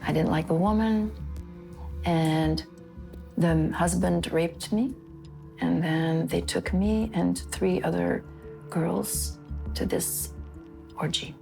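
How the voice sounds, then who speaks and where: A woman, calmly giving interview testimony as a survivor. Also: fairly low pitch, takes it slowly, gravelly, long pauses, soft background music. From Belgium. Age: 50s. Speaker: trafficking survivor.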